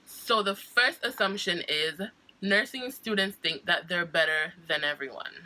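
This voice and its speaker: A woman's voice: mid-range voice, sharp tone, rough recording. A young woman with a cold, sounding stuffy, chatting casually to camera as a nursing student vlogger, with frequent laughs and restarts. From Jamaica.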